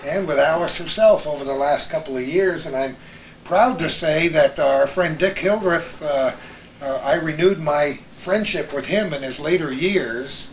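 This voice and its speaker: A man's voice: a little high, unhurried. An older man hosting a casual chat of reminiscences among friends. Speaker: host of a reminiscence show. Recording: roomy, distant, faint background noise.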